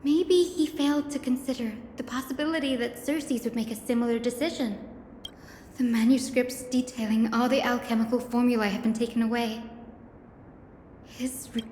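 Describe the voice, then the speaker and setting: A woman voicing an earnest, sharp-minded young investigator in a video game, reasoning aloud in a deliberate, dramatic way. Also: on the high side, steady tone, a little hiss or hum, close to an everyday voice. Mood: urgent deduction. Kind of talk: scripted video game voice acting. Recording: echoey hall reverb under loud music.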